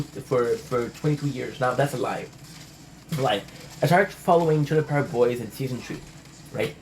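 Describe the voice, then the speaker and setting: Guy vlogging, stammers and restarts, swears a lot, normal pitch. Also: a little hiss or hum, poor audio. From Montreal, Canada. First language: French. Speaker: channel host. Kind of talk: casual rambling vlog chat.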